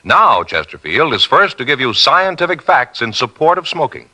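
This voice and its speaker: A man's voice: fairly high pitch, very animated, moderate pace, bright, forceful tone. A man reading a 1950s radio commercial as a formal, emphatic announcer. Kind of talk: 1950s radio advertising read. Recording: 1950s radio broadcast recording.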